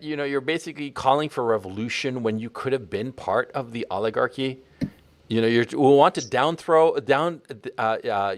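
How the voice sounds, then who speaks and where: A man hosting a livestream, needling and mocking his guest in a blunt, profane manner. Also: medium pitch. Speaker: livestream host. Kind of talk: confrontational livestream debate.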